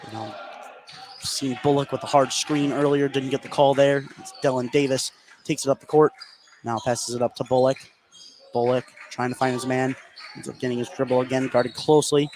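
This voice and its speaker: An American man doing excitable live play-by-play basketball commentary for radio, narrating the action in running phrases. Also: medium pitch, moderate pace, a little hiss or hum, low-quality recording. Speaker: play-by-play sports announcer.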